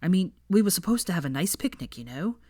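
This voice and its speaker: A woman doing a low, troubled young man. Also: big pitch swings, fast talker, mellow, dry recording, clean studio sound. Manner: low, subdued, breathy delivery. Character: anxious young man, strained, distressed.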